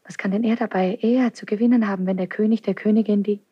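Actress as a passionate, spurned young princess, closely recorded. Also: female voice, mid-range voice, fairly even intonation, fast, slightly rough, no room sound, lo-fi sound, close to an everyday voice. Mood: crushed, brooding. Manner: theatrical, intense, with sudden drops to whispers. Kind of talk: 1970s German radio play acting.

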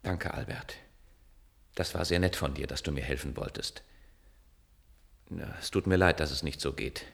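An actor playing a beleaguered inventor in a radio play, exasperated but kind, shifting between resignation and agitated protest. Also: male voice, a bass voice, normal speed, vocal fry, long pauses, slightly rough, full character voice. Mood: gentle, resigned. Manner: agitated, swinging from hushed resignation to loud protest. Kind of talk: German radio play acting.

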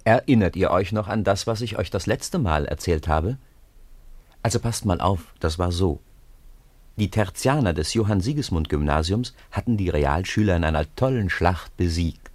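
Deep-voiced man narrating a children's story. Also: animated, normal speed, no room sound. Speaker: narrator of a children's radio play.

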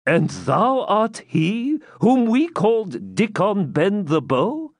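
An anxious old moneylender of storybook romance, acted out by a man, pleads and laments in archaic phrases. The voice sits high and swings widely in pitch, full of fawning worry. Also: big pitch swings, measured pace, no echo, clean studio sound, full character voice. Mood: wondering recognition.

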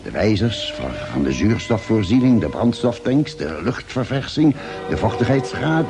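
An actor as a deep, expressive spaceman narrator. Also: natural voice. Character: male space crewman soberly recounting a perilous voyage. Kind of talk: dramatic first-person narration in an old radio play.

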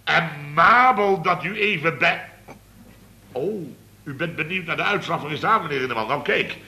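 An actor playing a blustering, flustered contractor boss in a radio comedy serial, stammering and repeating himself excitedly. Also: male voice, on the high side, moderate pace, a little hiss or hum, exaggerated voice. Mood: nervous, placating.

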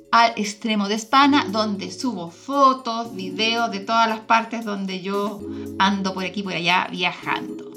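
A woman with a Chilean accent speaks over prominent music. She sits at an ordinary female pitch and hosts in an informal, talkative podcast style, often turning to questions. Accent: Chilean Spanish. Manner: chatty, informal, prompts her guest with questions. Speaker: podcast host. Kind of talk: casual chat, travel podcast.

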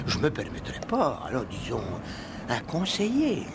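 Excitable, stammering village police sergeant, high-voiced. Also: male voice, moderate pace. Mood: eager pleading. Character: eager, deferential village police sergeant, male, pleading and ambitious. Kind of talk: 1960s French-language comic radio play acting. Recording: noisy old radio recording.

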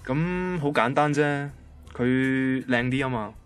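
A man's voice: mid-range voice, slow pace, faint music behind, lightly acted. An actor playing an ordinary, literal-minded young male banker with a Hong Kong Cantonese accent, in a radio drama. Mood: matter-of-fact. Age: young adult. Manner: matter-of-fact, pedantic.

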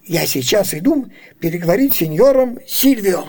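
An actor playing an excitable, bossy old Venetian merchant father in a radio comedy, with fussy, exclamatory comic delivery. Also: sits high, moderate pace. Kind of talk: Soviet radio theatre comedy acting.

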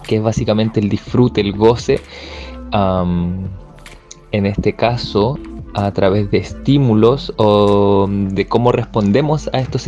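A man's voice: on the low side, dark tone, dull audio. A man giving a reflective spoken monologue as a guest contributor, hesitantly searching for his words. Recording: music bed under the voice.

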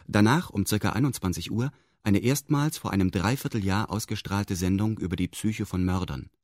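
A man's voice: lowish voice, normal speed, a little mellow, no echo, studio quality, lightly acted. A man narrating a radio drama in the first person, in a formal, detached and meticulous manner. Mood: detached, exact. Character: detached man recounting events with pedantic exactness.

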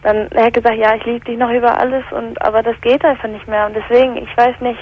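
Hesitant teenage girl calling in, higher-pitched voice. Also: little pitch movement, fast, darkish tone, a little hiss or hum, low-quality recording. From England. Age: teens. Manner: hesitant, searching for words. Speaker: caller. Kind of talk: hesitant personal account on a call-in show.

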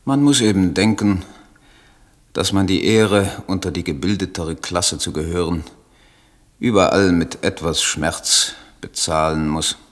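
An idealistic, troubled poet in a radio play, with a low voice. He declaims solemnly and haltingly, as if reading out his own letters. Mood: pained dignity. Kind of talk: literary radio play acting.

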